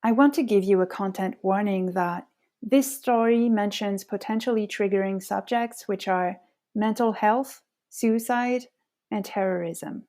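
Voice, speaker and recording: A woman in her thirties from France, a native French speaker with a French accent, hosting a podcast with measured, scripted personal narration. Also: medium pitch, mellow. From France, Paris suburbs. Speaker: podcast host.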